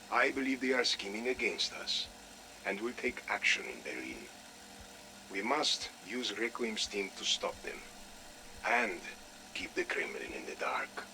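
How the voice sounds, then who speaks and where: An actor playing a commanding, cold Soviet colonel, giving deliberate orders in a game audio log. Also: male voice, medium pitch, sharp tone, a little hiss or hum, exaggerated voice. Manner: deliberate, authoritative, clipped orders.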